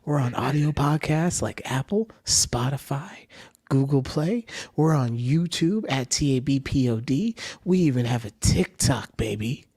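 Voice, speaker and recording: A man's voice: mid-range voice, animated, a little slow, darkish tone, slightly rough. A man co-hosting a casual podcast, chatting in a rambling way and acting out the people he quotes. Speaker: podcast co-host.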